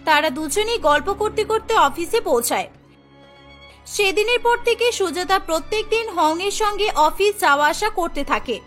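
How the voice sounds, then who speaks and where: Very high, bright woman storyteller. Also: lively intonation, moderate pace. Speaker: story narrator. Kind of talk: scripted cartoon storytelling narration. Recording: voice over background music.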